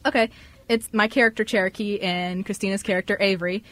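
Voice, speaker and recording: A woman's voice: medium pitch, fairly even intonation, fast, fairly bright tone. A young woman co-hosting a live radio show with casual, enthusiastic chat. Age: young adult. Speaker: radio show co-host and scriptwriter. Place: radio studio.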